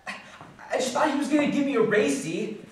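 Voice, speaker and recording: An actor playing an agitated, bitter working-class bookkeeper in a rambling, slangy, halting theatrical stage monologue. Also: male voice, very high-pitched. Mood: pleading, resentful. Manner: rambling, halting, slangy, swinging from muttering to outbursts. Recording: echoey distant stage recording, faint noise.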